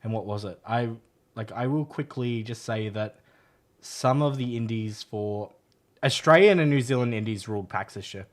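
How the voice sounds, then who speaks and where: A man's voice: lowish voice, normal speed. A man chatting casually about games as a co-host on a podcast.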